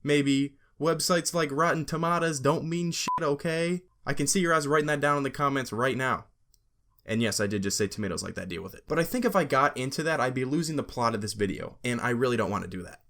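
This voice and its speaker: A man's voice: medium pitch, brisk, a bit bright. A young man hosting a casual scripted gaming commentary, sarcastic and joking, with profane asides. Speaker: video essay host.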